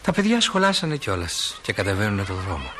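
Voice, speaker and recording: Αφηγητής με ζωηρό τόνο και φύσημα ηχογράφησης. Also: male voice, fairly low pitch, wildly swooping pitch, background noise. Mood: calm, wry. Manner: unhurried, descriptive storyteller. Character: genial stage manager narrating small-town life. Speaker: narrator. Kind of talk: theatrical narration in a radio play.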